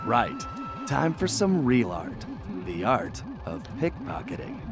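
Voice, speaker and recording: A man playing a cool, wisecracking raccoon thief hero in an animated video game, with a wry, laid-back delivery. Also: medium pitch, slow pace, exaggerated voice. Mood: wry, confident. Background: music.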